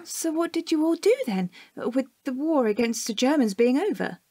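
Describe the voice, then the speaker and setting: Woman acting high, husky, inquisitive British child. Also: animated, normal speed, mellow, no echo, studio quality, exaggerated voice. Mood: eager, curious. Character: curious, earnest young child questioning their father. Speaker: actor in an audio drama. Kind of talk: conversational audio drama.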